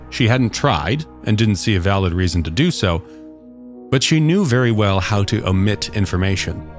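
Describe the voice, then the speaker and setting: Low-voiced man narrating a short story. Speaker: author and narrator of short stories. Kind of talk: scripted audiobook narration.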